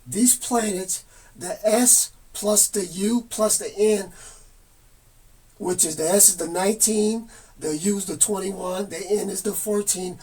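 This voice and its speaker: Husky high-voiced man rambling earnestly. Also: unhurried, a little mellow. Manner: meandering, reads dictionary definitions aloud, casual and earnest. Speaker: self-published filmmaker presenting his own theory. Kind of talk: rambling monologue to camera.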